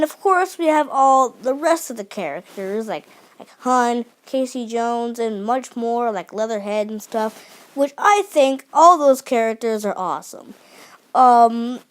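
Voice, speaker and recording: Enthusiastic young woman reviewing a cartoon. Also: a little high. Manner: enthusiastic, many restarts and fillers. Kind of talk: unscripted video review.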